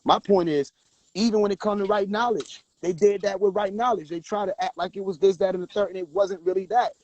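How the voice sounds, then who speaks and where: A man hosting a livestream, talking forcefully and preaching directly at his viewers. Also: sits high, fairly even intonation, brisk, no room sound, dull audio. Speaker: livestream host. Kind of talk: casual livestream talk.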